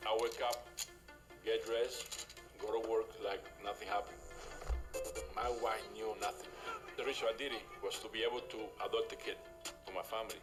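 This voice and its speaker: A man with a Cuban Spanish accent speaks in a lowish voice, telling his own story in short, plain sentences. Clear music runs beneath him as in a documentary cut. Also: steady tone, moderate pace, long pauses, rough recording. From Cuba. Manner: plain, simple sentences with non-native grammar. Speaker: documentary interviewee. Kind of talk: personal recollection in an interview. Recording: interview with music bed behind.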